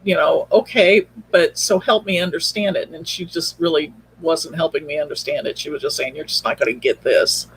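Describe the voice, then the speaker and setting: A woman's voice: low voice, moderate pace, few pauses, breathy edge, low-quality recording. An older woman telling personal stories casually and earnestly as a podcast guest on a video call, a little hesitant. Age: older, baby boomer. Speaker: podcast guest, show producer.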